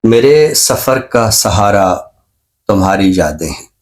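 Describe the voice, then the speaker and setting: A middle-aged Pakistani man with a fairly low voice speaks as a professor on a literary program. His delivery is measured and scholarly, and he repeats phrases for emphasis. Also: lively intonation. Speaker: professor and poet, literary show presenter. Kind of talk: reflective literary talk and poetry recitation.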